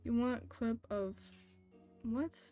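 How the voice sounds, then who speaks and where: A woman with a fairly high voice chats casually as she streams a game. She talks half to herself in short, blunt questions and reactions, with faint music behind her. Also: takes it slowly, highs cut off. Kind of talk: casual livestream chat over gameplay.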